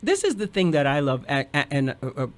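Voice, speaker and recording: A man's voice: fairly high pitch, huge pitch swings, fast. A man talking casually and emphatically, full of effusive praise, as a guest in a group studio conversation.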